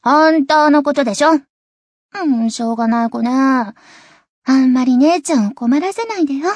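A woman's voice: high voice, normal speed, darkish tone, dry recording, lightly acted. A woman voice acting a self-assured teenage big sister in an anime game, scolding and teasing yet doting. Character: bossy, teasing teenage big sister, swim club captain.

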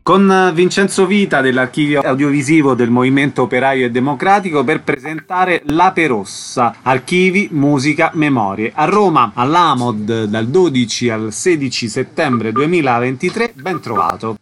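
Guy hosting an arts radio interview, medium pitch, bit of noise behind him. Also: lively intonation, normal speed, few pauses, fairly bright tone.

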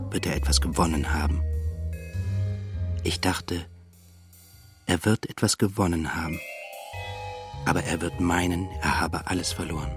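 An actor playing a pensive, observant man who recounts his thoughts in a literary radio drama. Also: sits low. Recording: music underneath.

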